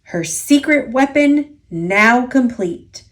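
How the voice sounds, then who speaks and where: A woman reading a children's picture book aloud as a storyteller, expressive and deliberate, drawing out key words. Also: on the high side. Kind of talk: children's story read-aloud, scripted narration.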